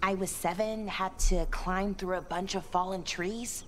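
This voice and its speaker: A woman voicing an earnest, wistful young elven woman in a video game, speaking reflectively with gentle hesitations. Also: mid-range voice, steady tone, a little slow, a little hiss or hum, lightly acted. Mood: reflective, tender. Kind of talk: video game dialogue acting. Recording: music under the voice.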